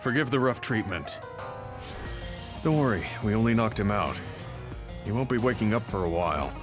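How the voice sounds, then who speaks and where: Calm, low-pitched male squadmate voiced by an actor. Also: moderate pace, over music, close to an everyday voice. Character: level-headed male squadmate, calm and observant soldier. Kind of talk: video game cutscene acting.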